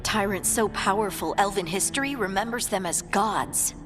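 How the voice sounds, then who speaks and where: An earnest fantasy scout, voiced by a woman with a medium-pitched voice. She talks insistently, as in a game cutscene, while music plays loudly behind her. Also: moderate pace, close to an everyday voice. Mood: urgent. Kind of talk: video game cutscene acting.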